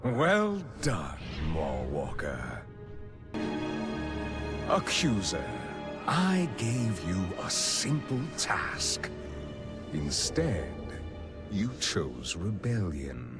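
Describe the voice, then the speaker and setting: Slow, swooping, pompous vampire lord. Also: male voice, low voice, huge pitch swings, exaggerated voice. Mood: cold menace. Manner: pompous, silky, measured, theatrical. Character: grandiose, manipulative aristocratic vampire master of a gothic realm. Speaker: ruler addressing subjects. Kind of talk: theatrical video game villain acting. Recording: dramatic orchestral music behind.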